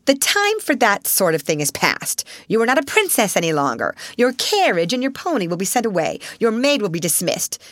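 Domineering storybook headmistress, loud and sharp, clipped scolding, read by a woman. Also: medium pitch, no echo, clean studio sound, voice pushed up. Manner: harsh, scolding, cold. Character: stern, domineering middle-aged headmistress.

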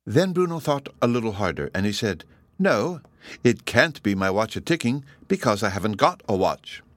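A man voicing a young girl who tells a storybook tale in a patient, gentle, slightly sly manner. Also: fairly low pitch, big pitch swings, normal speed, dry recording. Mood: storytelling. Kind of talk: storybook character voice in audiobook reading.

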